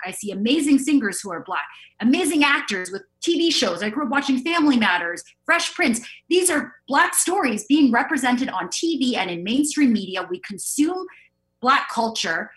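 A woman's voice: fairly high pitch, a bit bright, rough recording. A woman speaking emphatically and casually as a panelist in an impassioned discussion. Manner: emphatic, outspoken, casual swearing.

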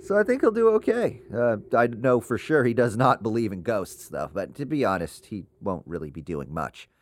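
An eager amateur ghost-hunting boss with a low, very animated voice. He rambles, hesitates and doubles back on his words in a loose, comedic audio drama style. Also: normal speed. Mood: casual, boastful.

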